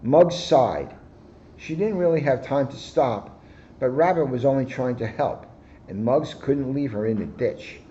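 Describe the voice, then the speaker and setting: A man's voice: mid-range voice, expressive, unhurried, dark tone, dull audio, slight background noise. Man reading a children's story aloud. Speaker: police officer.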